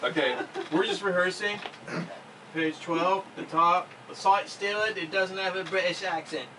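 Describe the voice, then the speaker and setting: A man's voice: high voice, unhurried. A man chatting casually and jokily while running a voice acting rehearsal. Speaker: voice actor at a rehearsal. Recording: distant mic, noisy room ambience.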